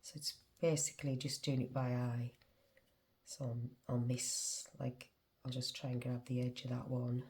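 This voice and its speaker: A woman's voice: very deep voice, level tone, moderate pace, darkish tone. A woman with a Northern English accent, congested with a cold, casually talking through a crochet demonstration as she works. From England. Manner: chatty, talks to herself while working. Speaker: crochet tutorial host.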